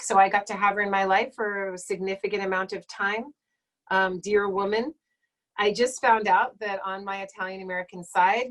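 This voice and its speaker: A woman's voice: medium pitch, poor audio. Chatty woman in her late forties. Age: late 40s. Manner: chatty and digressive, many fillers, self-corrects. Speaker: Egyptologist giving a talk and Q&A. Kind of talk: casual lecture and Q&A on a live stream.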